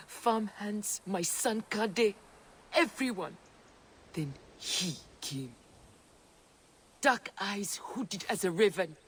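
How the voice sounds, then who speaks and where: An actress playing an old, half-blind peasant woman who tells of a massacre in slow, cryptic, raspy speech. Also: medium pitch. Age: older. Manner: slow, eerie storytelling in bird images.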